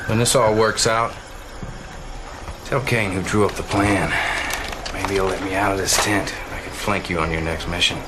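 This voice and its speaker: An actor playing a cocky, irreverent army officer in a game cutscene, talking in a slangy, breezy, informal way. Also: male voice, low-pitched, fairly even intonation, normal speed, natural voice. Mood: smug, chummy. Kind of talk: casual game cutscene acting. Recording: vehicle noise behind voice.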